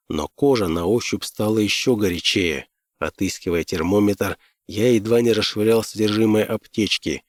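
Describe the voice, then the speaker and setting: A man's voice: lowish voice, darkish tone, no room sound. A man reading scripted first-person fiction as an audiobook narrator.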